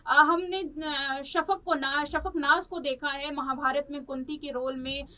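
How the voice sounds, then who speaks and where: A woman presenting news commentary in a halting, repetitive way, stretching words as she searches for the next. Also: high voice, steady tone, sharp tone. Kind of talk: unscripted news commentary on a celebrity case. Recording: distant microphone.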